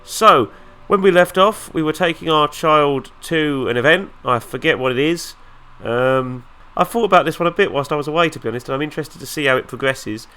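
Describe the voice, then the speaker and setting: A man's voice: a little high, fairly quick, few pauses, sharp tone, clear, resonant tone. A man with a nasal, flu-stuffed voice, giving casual let's-play commentary with dry, sarcastic asides. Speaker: gaming YouTuber.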